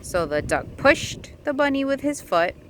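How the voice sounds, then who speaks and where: Animated woman reading a picture book aloud. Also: sits high, unhurried, slight background noise. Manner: expressive, asking the child questions. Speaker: storyteller reading aloud to a child.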